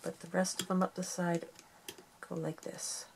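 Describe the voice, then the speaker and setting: Sniffly woman with a gravelly voice. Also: on the low side, level tone, moderate pace, background noise. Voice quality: gravelly, creaky voice. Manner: talks softly while working with her hands, rambling and homey. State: sniffly, stuffed nose. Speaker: craft tutorial host. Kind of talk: casual craft tutorial.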